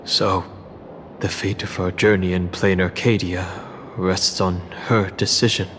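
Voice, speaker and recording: A man voicing a serious, subdued travelling companion in a video game, deliberate and a little hesitant. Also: deep voice, level tone, noisy background. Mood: solemn. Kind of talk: scripted video game voice acting. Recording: music under the voice.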